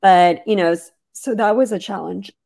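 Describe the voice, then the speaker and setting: A woman founder talking as a webinar guest, hoarse from losing her voice, chatty with many fillers and restarts. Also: medium pitch, moderate pace, a little mellow. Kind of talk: casual webinar conversation.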